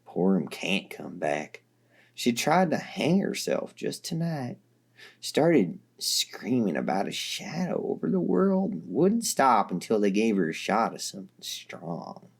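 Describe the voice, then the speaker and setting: A man voicing a tired, gruff male council boss who speaks slowly with a southern drawl. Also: huge pitch swings, exaggerated voice. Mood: weary. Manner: slow, low, drawling and dismissive.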